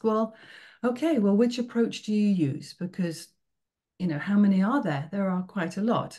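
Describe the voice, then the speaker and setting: A woman's voice: mid-range voice, a little mellow. A middle-aged woman from the United Kingdom with a British accent, giving an online workshop to teachers in a friendly, encouraging way. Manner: friendly, encouraging, checks in with "okay?" often. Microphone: video call.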